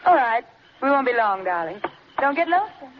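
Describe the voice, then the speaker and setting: A woman's voice: high voice, very animated, brisk. A poised, murderous wife from a 1940s radio thriller. She speaks with honeyed politeness that carries a pointed edge. The sound is muffled, with faint noise. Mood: veiled menace. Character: poised, sweetly menacing wife with murderous intent. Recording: muffled, noisy old radio recording.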